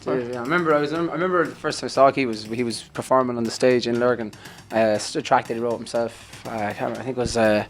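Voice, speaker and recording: A young Irish man talks fast and loose, rambling and joking as he tells the story. He has an everyday middle pitch. He speaks into a handheld mic in a backstage room. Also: fairly even intonation, slight background noise. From Ireland. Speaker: band member. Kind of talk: casual interview chat.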